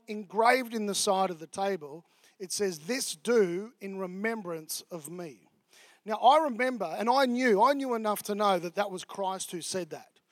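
An Australian pastor with a fairly high voice delivers a sermon in a loose, conversational style, dropping in plenty of ums and uhs. The recording is dry and close, with almost no room sound. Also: male voice, dry recording. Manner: casual, chatty preaching with many fillers.

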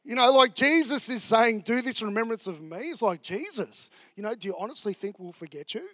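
An Australian pastor with a fairly high voice delivers a sermon in a loose, conversational style, dropping in plenty of ums and uhs. The recording is dry and close, with almost no room sound. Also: male voice, expressive, brisk, a bit bright, no room sound. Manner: casual, chatty preaching with many fillers.

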